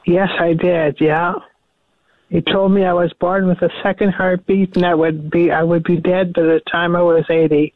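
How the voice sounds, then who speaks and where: A woman calling into a radio show, repeating prayers slowly and answering briefly. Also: fairly low pitch, level tone, no room sound. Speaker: caller. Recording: tinny dry phone line.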